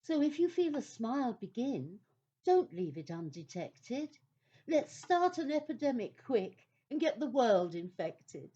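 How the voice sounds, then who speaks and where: A woman narrating a scripted children's adventure story as a gentle storyteller. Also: a little high, slightly rough, lo-fi sound, heavily acted.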